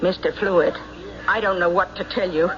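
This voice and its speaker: A woman's voice: on the low side, steady tone, moderate pace, lightly acted. A woman playing a frightened, defensive wife in an old radio drama, plaintive and protesting, on a muffled, noisy recording.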